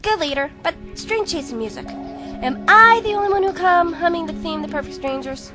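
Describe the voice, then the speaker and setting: A woman playing a loopy, playful young team member in an audio drama, bubbly and excitable. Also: very high-pitched, moderate pace, over music. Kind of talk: amateur audio drama acting.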